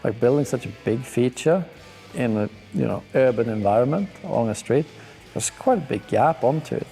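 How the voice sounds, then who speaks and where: Gravelly, mellow guy. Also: mid-range voice, lively intonation, normal speed, soft background music. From Sweden. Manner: laid-back, slangy and enthusiastic. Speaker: pro skier. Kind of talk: casual reaction commentary on video clips.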